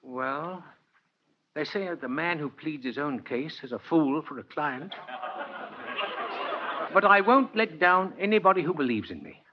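A man's voice: a little high, expressive, a little slow, pauses often, slight background noise. An older man playing a kindly, earnest old Santa Claus in a 1940s radio drama, with genteel, heartfelt oratory. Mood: hesitant, gentle. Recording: dull vintage 1949 radio recording, music behind.

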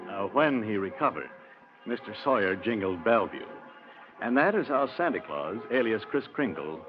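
A man's voice: fairly low pitch, sing-song, moderate pace. A man delivering scripted narration and announcements in the style of 1940s radio drama. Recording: muffled old radio recording with music. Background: music.

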